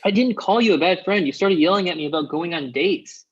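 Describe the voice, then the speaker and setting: A man's voice: high voice, animated, fairly quick, slightly rough, muffled recording. A man acting a skeptical, blunt friend at a comedy table read, questioning and wry.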